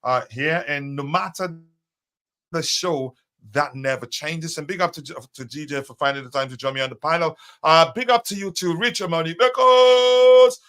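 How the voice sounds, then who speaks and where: A man's voice: on the high side, normal speed, a bit bright. A man hosting a live fan show, chatting casually with many fillers and greeting viewers by name. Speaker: host of a live fan stream. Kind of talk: casual live-stream chat.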